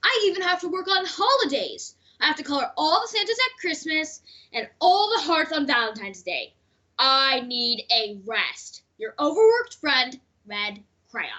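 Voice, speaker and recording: Woman as an animated, high-pitched exasperated crayon. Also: measured pace, heavily acted. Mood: exasperated, weary. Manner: animated complaining. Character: overworked, exasperated crayon in a storybook.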